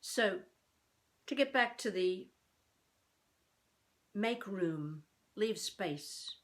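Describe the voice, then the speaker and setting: An older woman from Australia giving improvised instruction as a voice coach, speaking deliberately in spaced phrases with theatrical emphasis. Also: medium pitch, animated, a bit bright. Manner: deliberate, with spacious pauses between phrases. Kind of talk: improvised instructional talk to camera.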